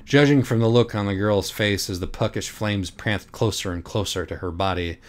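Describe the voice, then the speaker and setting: A low male voice narrates a comic audiobook. He delivers it with mock gravity and a theatrical, literary flourish. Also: moderate pace. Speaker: audiobook reader. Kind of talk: dramatic, profane comic narration of a parody children's book.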